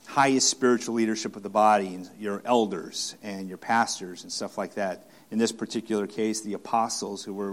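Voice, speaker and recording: Halting male preacher, steady mid voice. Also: mid-range voice. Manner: halting, many restarts and fillers, repeats phrases for emphasis. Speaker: pastor. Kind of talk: expository sermon teaching.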